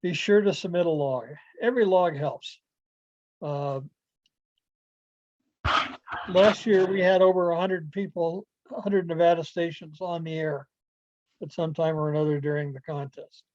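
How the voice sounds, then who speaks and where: A man giving an informal online presentation to club members, explaining things in a halting, rambling way over a video call. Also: fairly high pitch, steady tone, darkish tone. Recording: muffled lo-fi video call audio.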